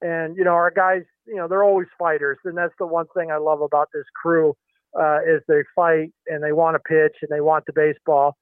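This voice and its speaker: Middle-aged man, pitching coach, phone-line sound, higher voice, halting, lots of fillers. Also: flat delivery, dry recording. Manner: halting, many fillers and restarts. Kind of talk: phone interview.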